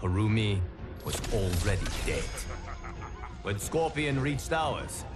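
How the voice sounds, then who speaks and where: Solemn, slow-spoken godlike guardian. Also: male voice, lowish voice, expressive. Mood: grave, ominous. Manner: solemn, formal, measured. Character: solemn godlike male guardian watching over timelines. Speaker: narrator of game cutscenes. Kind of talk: scripted dramatic narration. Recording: loud cinematic music behind. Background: loud music.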